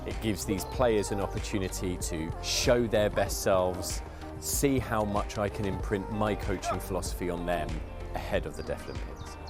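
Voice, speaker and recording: A man's voice: deep voice, normal speed. A man talking as head coach of a team in a matter-of-fact, explanatory interview. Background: music.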